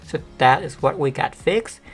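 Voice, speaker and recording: A woman vlogger chatting casually to the camera with a monotone, deep voice that sometimes cracks, rambling with many fillers. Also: normal speed, husky. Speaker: beauty vlogger.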